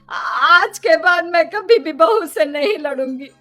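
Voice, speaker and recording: A woman's voice: very high-pitched, lively intonation, slow, a bit bright, pitched above the performer's own voice, exaggerated voice. A woman voicing an elderly, clinging, dramatic Indian mother in a children's cartoon. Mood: pleading, tearful. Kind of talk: Hindi children's cartoon dubbing.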